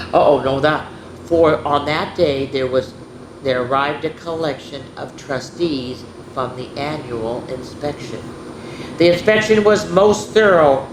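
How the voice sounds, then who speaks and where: A woman hosting a children's story time, reading picture books expressively and chatting directly to her young listeners. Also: sits low. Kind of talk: animated picture book reading with chatty asides. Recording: echoey room, clearly noisy background hum.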